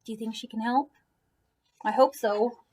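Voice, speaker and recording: A woman reading a children's picture book aloud expressively, with an animated manner for young listeners. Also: fairly high pitch, normal speed, slight background noise. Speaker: read-aloud storyteller for children.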